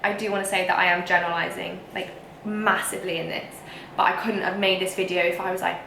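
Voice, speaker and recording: A woman's voice: on the low side, level tone, quick pace, creaky, bright, forceful tone, reverberant, slight background noise, low-quality recording. A young woman with a whiny voice, ranting frankly to camera in a casual vlog. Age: young adult. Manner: emphatic and frank, with frequent fillers. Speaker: vlogger.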